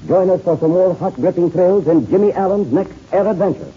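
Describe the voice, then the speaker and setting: A man's voice: high-pitched, fairly quick, breathy edge. A man announcing a 1930s radio serial in a dramatic scripted narration style. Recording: muffled, lo-fi old radio recording.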